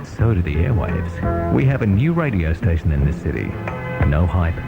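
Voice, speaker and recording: A deep-voiced Australian man announces a scripted station promo with a gravelly edge. Loud music plays behind him. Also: sing-song, normal speed. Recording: narrow tinny radio, loud music behind.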